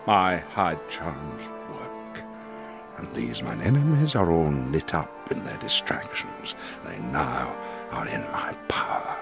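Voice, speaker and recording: A man playing a deep, commanding sorcerer father. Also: gravelly, full character voice. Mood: triumphant, proud. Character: commanding older male sorcerer, a stern, doting father. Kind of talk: Shakespearean verse acting in an audio play.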